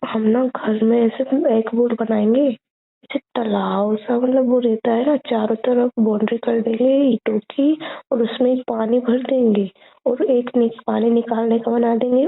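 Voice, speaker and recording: Husky young woman on a phone line. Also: on the high side, steady tone, measured pace. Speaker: girlfriend on a call with her boyfriend. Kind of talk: casual intimate phone chat. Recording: muffled, band-limited phone call recording.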